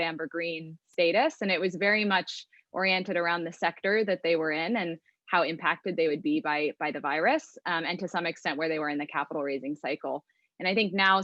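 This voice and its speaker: A woman's voice: fairly low pitch, fairly bright tone, dry recording, muffled recording. A woman speaking as an investor on a panel, explaining her points thoughtfully in a conversational way. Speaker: venture capital investor, panel guest.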